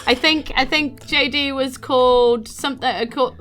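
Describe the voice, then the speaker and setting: A woman's voice: sits high, steady tone, normal speed, a bit bright. A woman with a New Zealand accent, the game master of a tabletop podcast, thanking supporters in a chatty, giggly way with many fillers.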